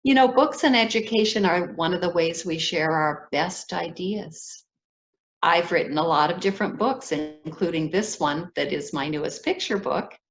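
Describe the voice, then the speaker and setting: An older woman with an American accent, a children's book author telling personal stories in a talk for young listeners. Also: medium pitch. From grew up in Ethiopia as an American child.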